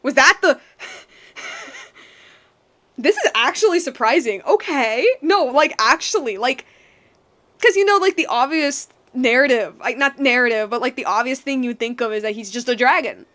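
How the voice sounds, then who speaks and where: A woman with a fairly high voice reacts to a video game as she streams it. Her talk is casual, slangy and animated, often repeating words as she works through her reactions. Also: normal speed.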